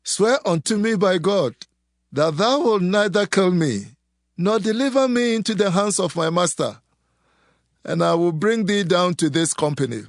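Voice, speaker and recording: A man's voice: sits high, animated, normal speed, dry recording, studio quality, voice pushed up. A man voicing a frightened young captive servant, earnest and pleading, in a dramatized scripture reading.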